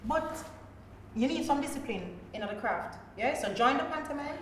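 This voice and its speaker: A woman's voice: high voice, lively intonation, moderate pace. A woman with a Jamaican accent, a pantomime actor, talking casually in a podcast discussion. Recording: echoey, reverberant room, faint noise.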